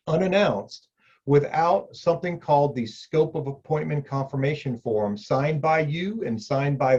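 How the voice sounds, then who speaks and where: A man's voice: on the high side, darkish tone, lo-fi sound. A middle-aged man, an insurance agent, explaining benefits to the viewer in a conversational way, repeating key points. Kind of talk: explainer video talk.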